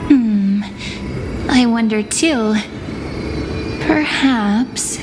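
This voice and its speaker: An actress playing an enigmatic, calm card-reading oracle in a fantasy game, pensive and cryptic. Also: female voice, fairly high pitch, drawn-out words, over music. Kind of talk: game voice acting.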